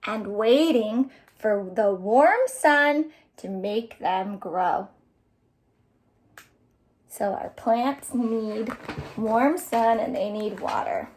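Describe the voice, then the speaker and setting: A woman's voice: fairly high pitch, lively intonation, extremely slow, long pauses, rough recording. A woman hosting a children's storytime, reading aloud in an exaggerated, sing-song way for young listeners. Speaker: children's librarian, storytime host. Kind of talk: children's storytime, read-aloud and singing along.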